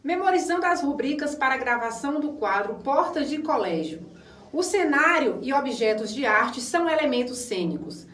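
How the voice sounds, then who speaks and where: A woman's voice: sits high, big pitch swings, normal speed, a bit bright. A woman with a Brazilian accent, an actress delivering a scripted theatrical monologue presentation. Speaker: stage actress. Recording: echoey room, distant microphone.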